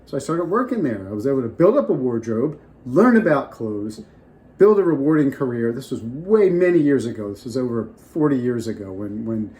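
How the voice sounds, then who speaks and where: A man's voice: mid-range voice, big pitch swings, moderate pace, mellow. An older man getting over a cold, giving casual off-the-cuff advice with many restarts. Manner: rambling, restarts often, off the cuff. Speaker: vlogger. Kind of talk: casual advice talk to camera.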